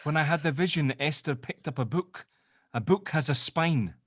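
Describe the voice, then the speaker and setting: A man's voice: fairly high pitch, normal speed, a bit bright, breathy edge, dry recording. Man acting exasperated, earnest amateur sleuth, dry recording. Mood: realizing. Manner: exasperated, hesitant. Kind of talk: audio drama table read.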